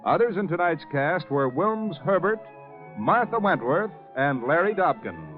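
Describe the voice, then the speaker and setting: High, animated vintage radio announcer. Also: male voice, a little slow. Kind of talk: 1950s scripted radio announcing. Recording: dull 1950s radio broadcast with music.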